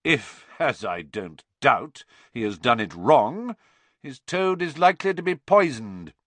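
A man's voice: medium pitch, big pitch swings, measured pace, dry recording, pitched above the performer's own voice. A man voicing a cold, menacing male schoolteacher in a storybook, scornful and commanding, with cutting delivery. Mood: commanding, contemptuous.

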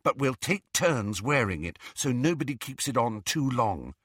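A man's voice: mid-range voice, very animated, moderate pace, a bit bright, husky, no echo, clean studio sound. A man voicing a bossy, anxious, clever teenage girl in an audiobook, with an urgent, earnest way of talking. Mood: conciliatory.

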